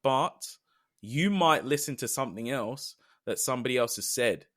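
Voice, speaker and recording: A man's voice: medium pitch, animated, moderate pace, fairly bright tone. Earnest British man, reflective podcast co-host. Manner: earnest, reflective, speaks in long trailing phrases. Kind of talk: casual podcast chat.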